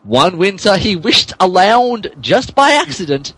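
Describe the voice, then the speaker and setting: A man's voice: high-pitched, sing-song, measured pace, fairly bright tone, husky, dry recording. A man narrating a comic dramatic reading of fan fiction in a hammy way, stumbling over words. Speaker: narrator, podcast reader.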